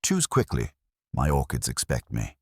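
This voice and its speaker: A man's voice: very deep voice, huge pitch swings, moderate pace, slightly rough, no echo, clean studio sound, heavily acted. A man voicing an imperious, precise detective genius with a formal, pompous, commanding manner in a mystery story.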